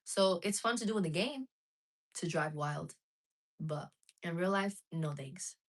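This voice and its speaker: A woman's voice: on the low side, a little slow. A woman live streaming casually to her viewers, chatting frankly and reading out chat messages. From United States.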